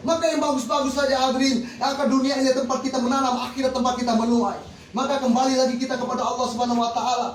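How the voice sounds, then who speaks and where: A man's voice: very high-pitched, flat delivery, very fast, slight background noise. A man preaching a fervent, rhythmic sermon to a congregation, repeating set phrases. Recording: echoey hall recorded from far off.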